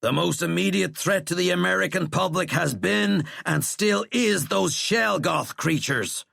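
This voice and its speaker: A man voicing an angry, outspoken army general in an audiobook, loud, forceful and scornful. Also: high-pitched, a little slow, vocal fry, dry recording, clean studio sound, pitched above the performer's own voice, full character voice. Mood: accusing. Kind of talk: audiobook character acting.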